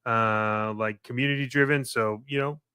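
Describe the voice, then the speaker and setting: A man's voice: mid-range voice, fairly even intonation, moderate pace, pushed and bright, clear, resonant tone, dry recording, studio quality. A man co-hosting a podcast in casual promotional chat, informal and loose, feeling his way through his phrasing. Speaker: podcast co-host.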